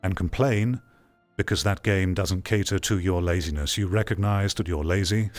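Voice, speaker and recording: A man's voice: deep voice, moderate pace, a little mellow, soft background music. A man giving rambling, opinionated livestream commentary as he reads and answers chat. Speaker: streamer.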